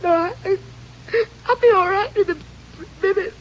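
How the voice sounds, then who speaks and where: An actress playing a distraught, defiant new widow, sharp-tongued and overwrought in old-time radio melodrama. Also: female voice, very high voice, a little slow. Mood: shocked, tearful. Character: distraught, defiant newly widowed ranch wife. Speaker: actress in a radio crime drama. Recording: dull, noisy vintage radio recording.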